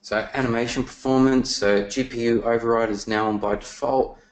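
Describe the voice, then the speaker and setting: A man presenting software features in a webinar, talking casually with many fillers, his voice getting worn and losing strength. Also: mid-range voice, steady tone, a little fast, rough recording. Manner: casual, many fillers and restarts, throat clearing.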